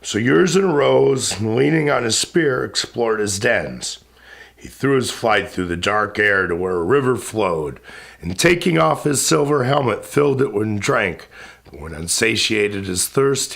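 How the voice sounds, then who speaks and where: A man's voice: lowish voice, gravelly, fairly bright tone. A man giving a solemn, declamatory, dramatic recitation of epic poetry.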